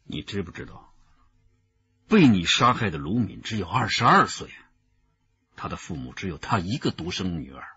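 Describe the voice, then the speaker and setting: Deep-voiced stern police detective. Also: male voice, big pitch swings, moderate pace, pauses often, pushed and bright, breathy edge, lo-fi sound, full character voice. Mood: probing, grave. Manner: grave, probing. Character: stern, sceptical male police detective interrogating a suspect. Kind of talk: Chinese radio crime drama acting.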